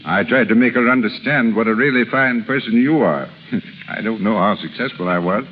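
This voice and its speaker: A man's voice: lowish voice, normal speed, close to an everyday voice. An actor playing an ardent, commanding businessman in his forties, in 1930s radio drama style. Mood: troubled. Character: ardent, commanding forty-six-year-old wealthy businessman in love. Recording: tinny, hissy 1930s radio recording.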